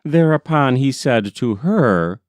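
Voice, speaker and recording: Slow, animated male storyteller. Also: mid-range voice, no room sound. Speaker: audiobook reader. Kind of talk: scripted storytelling narration of a folk tale.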